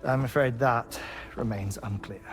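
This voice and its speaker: An actor playing a courteous, wistful investigator in a video game, speaking in a measured, formal and slightly whimsical way. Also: male voice, medium pitch, over music, natural voice. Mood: grave, explanatory. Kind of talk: cinematic video game acting, explanatory dialogue.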